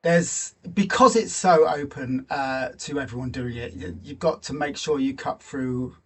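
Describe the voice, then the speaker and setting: A man's voice: medium pitch, measured pace, a bit bright, breathy edge. A man in his forties giving reflective, self-deprecating answers as a guest in a conversational interview. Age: 40s. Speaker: guest, actor and filmmaker.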